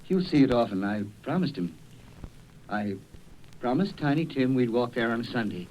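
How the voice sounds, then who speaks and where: An actor playing a gentle, grieving father in an old-time radio drama, speaking in a subdued, tender, halting way. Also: mid-range voice, lively intonation, lo-fi sound, a little hiss or hum, close to an everyday voice. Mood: weary, tender. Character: gentle, grieving working-class father and husband.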